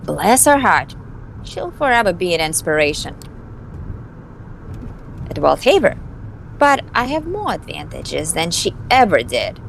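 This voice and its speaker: A woman's voice: fairly low pitch, very animated, measured pace, gravelly, pauses often, slight background noise, exaggerated voice, poor audio. A woman voicing a flippant, scheming young vampire socialite in an amateur visual novel dub, with a snarky, theatrical delivery. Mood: gossipy, admiring.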